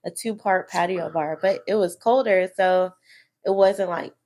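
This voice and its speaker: A woman's voice: fairly low pitch, unhurried, darkish tone. A woman, co-hosting a podcast and recounting events in a chatty, giggly way. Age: 30s. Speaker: podcast co-host. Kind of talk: casual chat.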